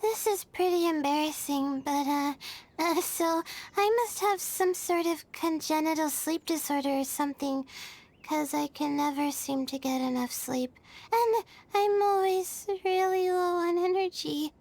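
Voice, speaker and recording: A woman voicing a timid, drowsy young female student in a video game, soft, girlish, hesitant and self-effacing. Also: high-pitched, a little slow. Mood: embarrassed, weary.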